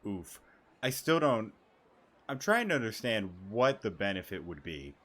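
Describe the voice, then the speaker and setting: A man's voice: on the low side, big pitch swings, measured pace, fairly bright tone. A man giving casual, musing commentary as he plays through a game, informal and prone to swearing. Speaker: gaming streamer.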